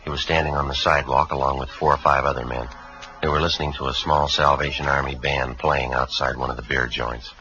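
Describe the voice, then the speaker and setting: A very deep, forceful male detective in a 1950s crime drama. He is deadpan and clipped, a level-headed cop laying out facts, on a dull vintage recording. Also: brisk. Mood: flat, businesslike. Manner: clipped, deadpan, matter-of-fact. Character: level-headed male police homicide sergeant, narrating his case. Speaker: detective narrator. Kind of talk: 1950s radio crime drama acting and narration. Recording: dull vintage radio recording, faint music.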